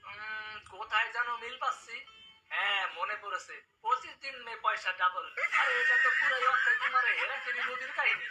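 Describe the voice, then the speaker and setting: A man's voice: very high-pitched, brisk, distant mic, over music, rough recording. A man playing a skeptical cartoon father who talks in a blunt, casual, scoffing way. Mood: mocking. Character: skeptical, dismissive father and husband.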